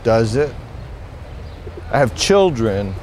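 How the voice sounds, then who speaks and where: Slow, brooding man acting a washed-up poet. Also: medium pitch, big pitch swings, a little mellow, a little hiss or hum. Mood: rueful, resigned. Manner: halting, musing, repeats phrases to himself. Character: rambling, melancholy washed-up male poet, wistful and self-doubting. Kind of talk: theatrical monologue with recited verse.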